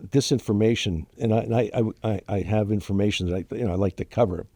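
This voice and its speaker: An older man, born in Germany to Ukrainian parents with Ukrainian as his first language, telling his family story in a halting, emotional way with many fillers and restarts. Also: on the low side, gravelly, warm tone, breathy edge, no room sound. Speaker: guest. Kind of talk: interview, personal story.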